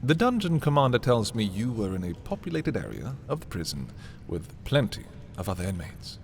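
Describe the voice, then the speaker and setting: A man playing an arrogant, possessive young prince in a dark fantasy audio roleplay, haughty and theatrical in his delivery. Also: fairly low pitch, wildly swooping pitch, brisk, creaky, slight background noise, exaggerated voice. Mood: coolly menacing. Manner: haughty, dramatic, swinging from hushed to exclaiming. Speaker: voice performer. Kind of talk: dramatic roleplay audio, ASMR fantasy monologue.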